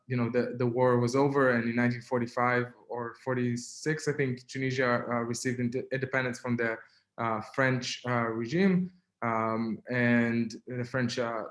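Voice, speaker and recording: Israeli-accented young man, webinar guest, halting delivery full of fillers, echoey room on a video call. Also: mid-range voice. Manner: halting, many fillers and restarts. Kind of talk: personal testimony in a webinar.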